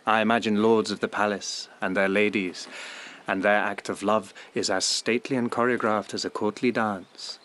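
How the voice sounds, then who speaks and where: A man's voice: lowish voice, flat delivery, moderate pace, fairly bright tone, natural voice. A man acting a young, provocative palace lord in a radio drama, musing and insinuating in formal phrases. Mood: teasing, provocative. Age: young adult. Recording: faint outdoor ambience.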